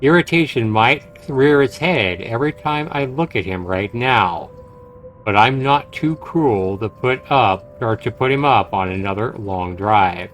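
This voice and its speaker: A man reading a visual novel aloud on a live game stream, with some halting pauses and stumbles. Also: medium pitch, fairly bright tone. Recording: game music behind. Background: video game music.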